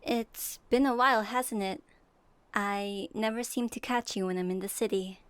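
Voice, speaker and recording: A woman playing a gentle, curious young female traveler in a soft ASMR audio roleplay, pausing as if for an unheard partner. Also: mid-range voice, fairly even intonation, clean tone, dry recording, natural voice. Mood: friendly.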